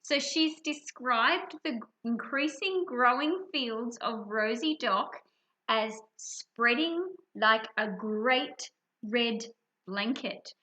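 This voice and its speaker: Female teacher for a children's reading lesson. Very lively intonation, bright tone, emphatic on key words. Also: on the high side, slow, poor audio. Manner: expressive, stresses key words.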